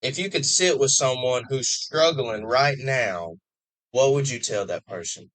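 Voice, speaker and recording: A man hosts a recovery podcast, talking slowly in a dry, close room. He gives brief affirmations, then reads out contact details. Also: mid-range voice, lively intonation, fairly bright tone, no echo, poor audio. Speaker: podcast host. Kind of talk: interview podcast hosting.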